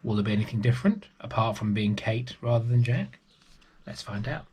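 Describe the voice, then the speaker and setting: A man's voice: lowish voice, brisk, a little mellow, slight background noise. A man reading a picture book to young children expressively, with chatty asides to the listeners. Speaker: storybook reader for early years children.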